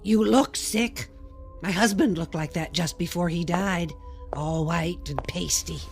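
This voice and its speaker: An actress playing a pious, insistent older widow with a preachy, folksy manner in a radio play. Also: female voice, on the low side, a little slow, gravelly, a little mellow, soft background music. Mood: ominous, nosy. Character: pious, preachy old widow pressing strangers in the subway. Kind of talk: radio drama acting.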